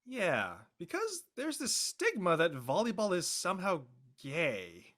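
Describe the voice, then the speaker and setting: A man playing an excitable, loyal high school senior jock, speaking in slangy exclamations in an audio play. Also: measured pace, heavily acted. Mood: glum, casual. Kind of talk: naturalistic dialogue in a live-streamed audio play.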